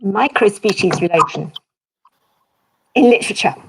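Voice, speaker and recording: A woman literature scholar talking through ideas in an online seminar, thoughtful and animated. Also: medium pitch, moderate pace, creaky, noisy background. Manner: thoughtful, animated, academic phrasing. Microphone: video call.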